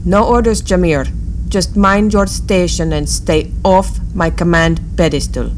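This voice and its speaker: A woman playing a proud, guarded alien fleet admiral in a sci-fi audio drama, speaking in a measured, authoritative way. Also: lowish voice, noisy background, natural voice. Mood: commanding.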